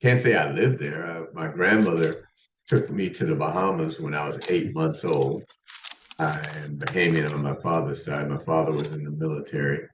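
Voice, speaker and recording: A man's voice: a bass voice, fairly even intonation, moderate pace, slight background noise. A man in his sixties, a podcast guest, telling his life story in a rambling way with many restarts. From United States. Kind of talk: casual interview storytelling. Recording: distant roomy muffled remote mic.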